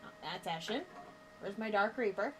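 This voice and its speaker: Woman, 20s, chatty, self-deprecating, mid pitch. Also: normal speed, a bit bright, slight background noise. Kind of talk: casual chat while painting miniatures.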